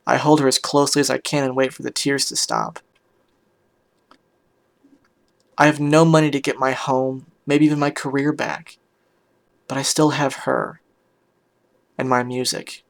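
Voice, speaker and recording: A man plays a weary pony musician pouring out a bitter, tender story. The voice is mid-pitched with a gravelly fry, and the delivery is hushed, halting and full of feeling. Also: flat delivery, natural voice. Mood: tender, resolute. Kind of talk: dramatic first-person fan fiction reading.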